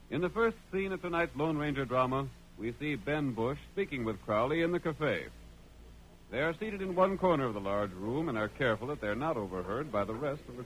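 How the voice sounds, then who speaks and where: A man's voice: mid-range voice, fairly bright tone, slight background noise, poor audio. An American man narrating a vintage radio western in an emphatic, declamatory, dramatic scripted style. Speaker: announcer and narrator. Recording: old radio broadcast.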